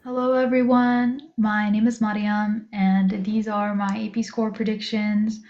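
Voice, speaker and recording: A teenage woman who grew up speaking Spanish talks casually in a mid-pitched voice, like a student vlogger addressing her viewers. The recording is roomy and a little dull. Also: flat delivery, a little slow. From moved to the US from abroad. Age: teens, high school student. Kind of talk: casual chat to camera. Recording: roomy echo, slightly dull sound.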